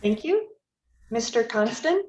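A woman's voice: fairly high pitch, big pitch swings, measured pace, a little mellow, a little hiss or hum. Formal woman moderator, dull lo-fi sound. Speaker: forum moderator.

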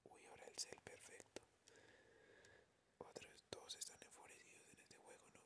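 A woman with a Colombian accent giving casual, slangy, playful ASMR commentary on a video game in a whisper. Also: normal speed. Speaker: gaming video host.

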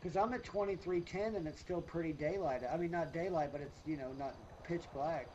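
High-voiced man gaming over clear noise. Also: a little fast, muffled recording. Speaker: gamer on a livestream. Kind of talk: casual gaming chat, swearing.

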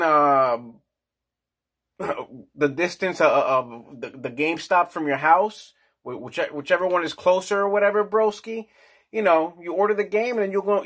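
A man's voice: fairly high pitch, a bit bright. A man in his forties giving a casual, crude and rambling rant in a small indoor office. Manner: brash, crude, slangy, rambling. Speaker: vlogger.

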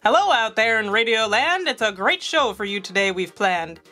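Chirpy storybook radio announcer done by a woman, high voice, showy broadcast patter. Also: lively intonation, moderate pace, bright, forceful tone, faint music behind. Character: chattering gadget radio announcer, cheerful and nonsensical.

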